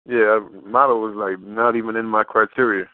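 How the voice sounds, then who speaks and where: A man's voice: fairly low pitch, fairly even intonation, normal speed, dry recording. A man giving a casual interview as a guest over the phone, talking informally with slang and rephrasing himself as he goes. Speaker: interview guest, fashion model. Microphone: telephone. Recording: muffled narrow phone line.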